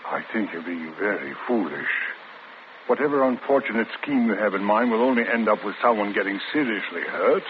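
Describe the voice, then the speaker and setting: Patient prison priest, played by a man, lowish voice; 1940s radio play, phone-thin sound with static. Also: expressive, lightly acted. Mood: pleading. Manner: measured, pleading, gentle. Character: calm gentle male prison priest, patient. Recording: tinny old radio broadcast with static.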